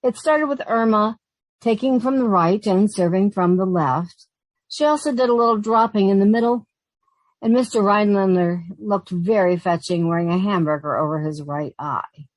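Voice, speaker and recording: A woman's voice: mid-range voice, moderate pace, no echo, natural voice, poor audio. Sensible young secretary, played by a woman: mid-range, proper, wry, long-suffering, vintage radio comedy style, dry studio sound. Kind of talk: 1940s radio comedy acting and narration.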